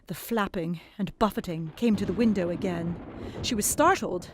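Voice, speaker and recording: A woman narrating a gothic novel in the first person, expressive and dramatic, dropping to whispers in the hushed passages. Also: medium pitch, moderate pace, noisy background.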